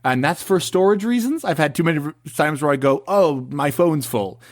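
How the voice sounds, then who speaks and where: A man's voice: a little high, expressive, moderate pace, dry recording, clean studio sound. A young man hosting a podcast, chatting casually with jokey asides and frequent laughter. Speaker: podcast host. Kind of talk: casual chat.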